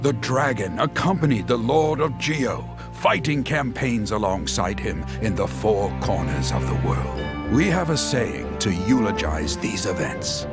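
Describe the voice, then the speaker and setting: A man's voice: lowish voice, sing-song, unhurried, gravelly, few pauses, heavily acted. A man playing a dramatic traditional storyteller in a video game, telling legends in a theatrical manner. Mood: reverent. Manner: theatrical, swelling and hushing. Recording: loud music behind the voice.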